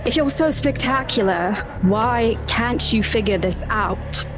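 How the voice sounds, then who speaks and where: A woman's voice: on the high side, takes it slowly. Resentful, demanding sister, acted by a woman, taunting delivery, tabletop drama, music under. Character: bitter, challenging sister of a gifted scholar.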